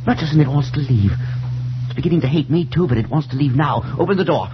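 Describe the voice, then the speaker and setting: Man acting haunted, high-strung professor on old radio. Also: animated, fast, highs cut off, close to an everyday voice. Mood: frantic, hateful. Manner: intense, agitated, dramatic delivery. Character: haunted professor, fighting an invisible presence with hatred. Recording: noisy band-limited 1940s radio recording with hum.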